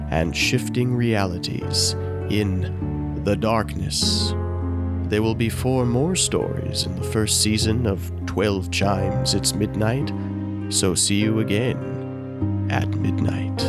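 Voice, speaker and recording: A man narrating and reading the closing credits in a measured, formal announcing style. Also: lowish voice. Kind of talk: scripted radio announcing. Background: guitar music.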